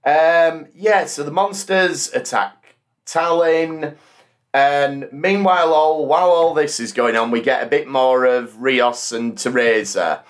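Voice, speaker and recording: A man's voice: fairly high pitch, takes it slowly. A man hosting a casual podcast about TV and film, chatty, sweary and acting out quoted lines. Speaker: podcast host.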